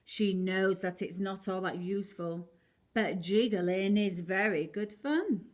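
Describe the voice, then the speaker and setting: A woman reading a rhyming children's picture book aloud in an expressive storytelling style. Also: mid-range voice, slow.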